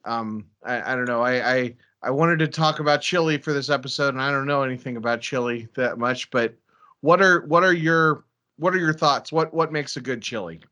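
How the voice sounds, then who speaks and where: Bright-voiced man hosting, stammering casually. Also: mid-range voice, moderate pace. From Ohio, United States. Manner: stammering, repeats words, informal. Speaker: podcast host. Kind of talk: casual interview chat.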